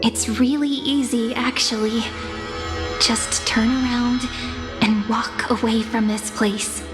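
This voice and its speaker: An actress voicing a gentle, mysterious woman stranded in an empty void, speaking softly and persuasively in a game cutscene, with music behind. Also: fairly high pitch, takes it slowly, big-room sound. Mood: calm reassurance. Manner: soft, calm, persuasive.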